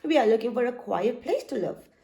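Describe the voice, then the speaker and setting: A woman's voice: a little high, animated, brisk, vocal fry, dark tone. A woman voicing three noisy young foxes who fuss and get scared easily. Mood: fussy.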